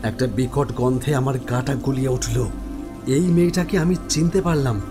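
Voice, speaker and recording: A man's voice: mid-range voice, moderate pace. A man giving dramatic first-person narration of a horror story. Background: music.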